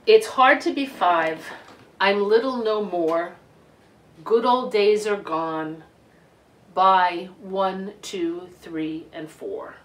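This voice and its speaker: A middle-aged woman reading a picture book aloud to children in an expressive, deliberate way with emphasized words. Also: medium pitch, slight background noise. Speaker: children's storytime reader. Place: living room couch, indoors. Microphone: camera a few feet away. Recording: roomy home recording, distant microphone.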